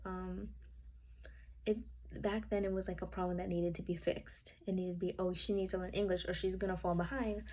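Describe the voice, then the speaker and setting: A woman's voice: medium pitch, warm tone, a little hiss or hum. A young woman whose first language is Spanish, giving an informal class presentation from her notes, casual with fillers and self-corrections. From United States. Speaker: student.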